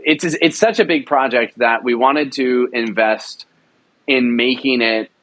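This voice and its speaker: A man's voice: medium pitch, measured pace, fairly bright tone. Enthusiastic young man explaining production. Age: young adult. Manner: enthusiastic, rambling with fillers. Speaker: writer-director of an audio drama. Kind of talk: casual interview talk.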